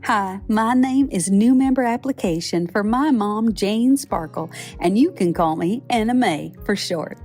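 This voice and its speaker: A woman's voice: medium pitch, measured pace, hardly any pauses, darkish tone, faint music behind. A woman voicing a cheerful, chatty female membership application paper, telling her story in folksy scripted narration with friendly asides.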